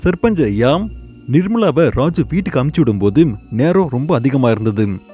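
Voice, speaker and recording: A man's voice: medium pitch, expressive, normal speed, mellow, soft background music, close to an everyday voice. A man voicing a worried villager. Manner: respectful, speculating.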